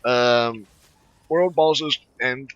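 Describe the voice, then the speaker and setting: A man doing casual let's play commentary, halting and rambling as he thinks aloud. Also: medium pitch, fairly bright tone, no room sound, muffled recording. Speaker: gaming video host.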